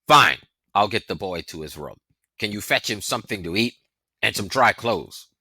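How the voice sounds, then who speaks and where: A man voicing a huge, gruff, bearded protector in an audiobook, blunt and scolding but kindly. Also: fairly low pitch, lively intonation, normal speed, bright, forceful tone, dry recording, exaggerated voice. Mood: low muttering. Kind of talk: audiobook character voice.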